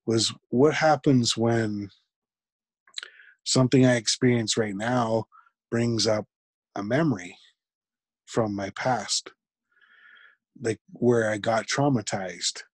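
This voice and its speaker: A man with a fairly deep voice talks as an interview guest. He speaks reflectively and haltingly, often restarting his sentences. His voice is recorded close and dry, with little room sound. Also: husky, dry recording. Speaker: podcast guest. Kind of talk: conversational interview.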